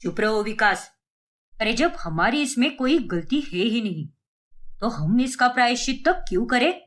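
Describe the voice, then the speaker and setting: A woman's voice: fairly high pitch, lively intonation, moderate pace, close to an everyday voice. A woman voicing a stern, decisive middle-aged father in a cartoon family story, speaking with firm authority.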